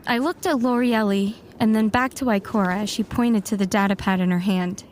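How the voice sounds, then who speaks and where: A woman's voice: medium pitch, fairly even intonation, fairly quick, darkish tone, a little hiss or hum, natural voice. A woman acting a young, self-assured warlock student who narrates and speaks in a fan audio drama. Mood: narrating.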